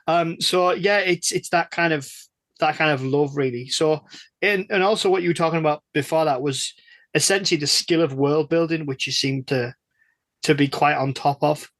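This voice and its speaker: British male interviewer, slightly high pitch, dry recording, chatty, wordy, tentative phrasing. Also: moderate pace, fairly bright tone, no echo. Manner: tentative, wordy, repeating words as he builds questions. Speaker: podcast interviewer, stand-in host. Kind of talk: casual interview chat.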